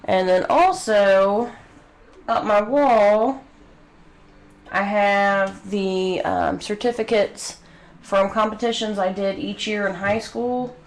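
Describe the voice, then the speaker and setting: A woman's voice: medium pitch, steady tone, takes it slowly. Sniffly young woman with a head cold. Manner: earnest, many hesitant ums. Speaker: vlogger. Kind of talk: personal talk to camera. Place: bedroom home studio. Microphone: studio mic. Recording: echoey room, faint background noise.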